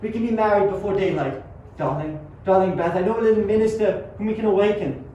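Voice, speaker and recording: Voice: mid-range voice, quick pace, warm tone, highs cut off, natural voice. An amateur performer plays an anguished young scientist, dramatic and obsessive, in a homemade radio drama. The voice sits back from the mic in heavy echo, with a faint layer of noise. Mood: urgent pleading. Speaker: amateur student performer and narrator. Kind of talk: amateur radio play acting and narration. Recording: very echoey, distant, faint noise.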